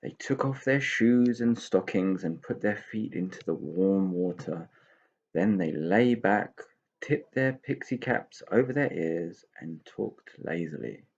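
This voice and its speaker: A man reading a children's story aloud with expressive narration. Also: low voice, slow pace, dull audio.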